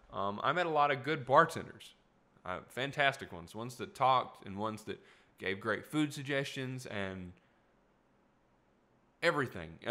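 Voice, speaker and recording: A young podcast host thinks out loud in a small room at home, full of fillers and asides. His voice sits on the low side but has a bright, forceful edge. It sounds a little worn from a lot of talking. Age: young adult. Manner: rambling, reflective, many fillers and asides. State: voice tiring. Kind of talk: casual solo podcast talk. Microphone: studio mic in front of him.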